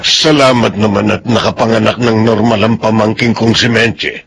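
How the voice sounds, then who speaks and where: An actor playing a stern but concerned middle-aged Filipino uncle in a radio drama. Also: male voice, fairly low pitch, fairly even intonation, normal speed, gravelly, music in the background. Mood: relieved, concerned.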